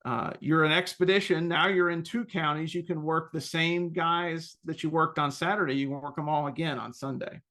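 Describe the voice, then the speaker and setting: A man presenting an informal webinar question-and-answer session, explaining things in a halting, self-correcting way. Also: on the high side, steady tone, pushed and bright.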